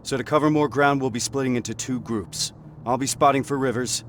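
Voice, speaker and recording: A man's voice: mid-range voice, steady tone, a little fast, a bit bright, slight background noise, natural voice. A man voicing a terse, authoritative resistance sergeant in a video game. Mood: commanding. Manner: authoritative, clipped. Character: commanding male resistance sergeant, steady and terse.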